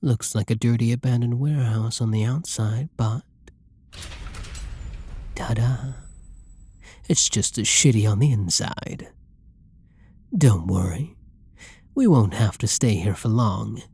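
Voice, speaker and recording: A man playing a flirty, menacing masked villain in an intimate ASMR roleplay, breathy and husky, taunting and commanding in a condescending way. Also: medium pitch, slow pace, pauses often, a little mellow, no echo. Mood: commanding, mocking. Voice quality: breathy, husky, often near a whisper.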